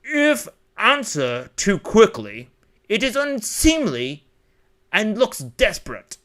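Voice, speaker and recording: A man's voice: high-pitched, huge pitch swings, slow pace, fairly bright tone, voice pushed up, heavily acted. A man voicing a stern, pompous wealthy father, haughty and scolding, in a story reading.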